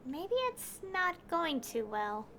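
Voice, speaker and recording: A woman voicing a calm, thoughtful young traveler who speaks reflectively. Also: high voice, slow, slight background noise, heavily acted. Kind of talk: fan voice-over of a game scene.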